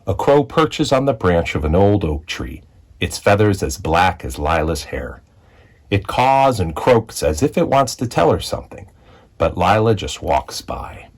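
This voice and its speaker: A man reading a children's picture book aloud as a storyteller, with expressive narration and deliberate dramatic phrasing. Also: low-pitched. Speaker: read-aloud storyteller, school executive.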